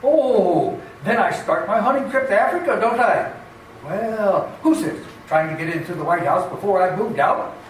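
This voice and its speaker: Pompous, deluded radio-play gentleman, male actor, high voice, hearty bluster, distant echoey sound. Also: expressive, moderate pace. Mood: jovial. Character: deluded, jovial gentleman believing himself President Roosevelt. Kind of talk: amateur radio play acting. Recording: echoey room, off mic, faint noise.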